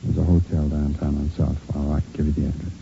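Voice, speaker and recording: A low, very dark-voiced American heel in a 1950s radio crime drama, callous and irritable as he brushes off police. He talks quickly and defensively on a dull old recording. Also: little pitch movement, vocal fry, a little hiss or hum. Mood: evasive, irritated. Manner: defensive, dismissive. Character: slick, callous, irritable kept boyfriend under police questioning.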